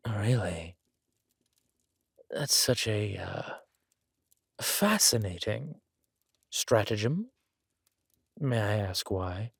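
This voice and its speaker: A man voicing a suave fantasy king in a villain roleplay audio drama, speaking with smooth, measured and quietly threatening courtesy. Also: on the low side, lively intonation, no room sound, clean studio sound, exaggerated voice. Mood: probing. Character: suave menacing male fantasy king, softly imposing.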